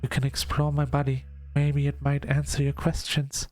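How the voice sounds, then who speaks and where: A man's voice: fairly high pitch, monotone, moderate pace, darkish tone, faint music behind, voice pushed up, close to an everyday voice. A man voicing a shy, lonely sleep paralysis demon in a visual novel, speaking tentatively and pleadingly. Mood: tentative, gentle.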